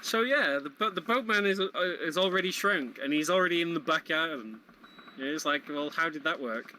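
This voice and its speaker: A man's voice: on the high side, animated, normal speed, sharp tone, a little hiss or hum, low-quality recording. A man giving casual live commentary on a video game, explaining things in a hesitant, chuckly way. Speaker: speedrun commentator. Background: game music behind the voice.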